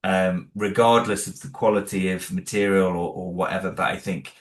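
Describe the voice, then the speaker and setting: A man's voice: low-pitched, level tone, a little fast, slight background noise. A man, the creator of an audio drama, talking in a casual interview. He is modest and hesitant, with many restarts. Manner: modest, self-deprecating.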